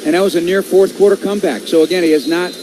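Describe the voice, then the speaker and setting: A man's voice: high voice, fast talker, background noise. An American man calling football play-by-play live on the radio. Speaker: play-by-play announcer.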